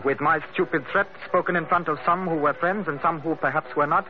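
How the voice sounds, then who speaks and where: A man's voice: a little high, steady tone, close to an everyday voice. An actor playing a courteous, dignified young Chinese man in hiding, speaking formal, careful English in an old-time radio drama. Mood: earnest, grave. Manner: formal, measured, careful diction. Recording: dull old radio recording, faint music.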